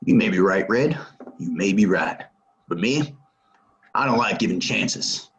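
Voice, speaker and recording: An actor playing a menacing, distrustful gunslinger stranger in a Western audio play, talking in a terse, probing way. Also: male voice, sits low, animated, a little slow, a bit bright, highs cut off, slight background noise, heavily acted.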